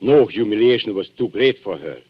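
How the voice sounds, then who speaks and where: Suave, smug blackmailer, lively old radio. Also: male voice, on the low side, brisk, husky. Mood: gloating. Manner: mock-courteous, theatrical, smug. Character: suave, cynical male antique dealer and gloating blackmailer. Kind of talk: 1940s radio thriller acting. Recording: muffled, noisy vintage 1940s radio recording.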